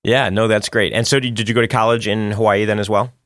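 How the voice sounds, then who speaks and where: A man's voice: on the low side, steady tone, quick pace, fairly bright tone, no echo, studio quality. A man hosting a podcast, chatting casually and rambling with repeated words and restarts. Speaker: podcast host. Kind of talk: casual interview chat.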